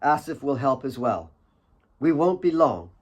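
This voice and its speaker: A man voicing a big, motherly woman in an audiobook, calm, firm and courteous. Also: medium pitch, a little slow.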